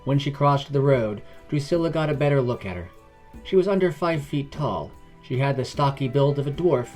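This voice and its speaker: A man's voice: medium pitch, darkish tone. Man narrating fantasy fiction over music.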